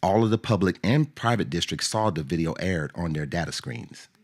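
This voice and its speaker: Low-voiced man narrating radio drama. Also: lively intonation, normal speed. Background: music.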